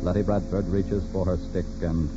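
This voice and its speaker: A man narrating a 1940s radio crime drama as announcer, grave and dramatic in measured phrases. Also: sits low, flat delivery, over music. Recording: muffled 1940s radio recording.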